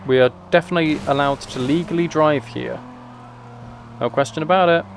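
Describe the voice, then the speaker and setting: A man's voice: on the high side, fairly quick, gravelly, slight background noise. A man chatting casually as he streams a video game, with dry, wry asides. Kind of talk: casual livestream gaming chat and commentary.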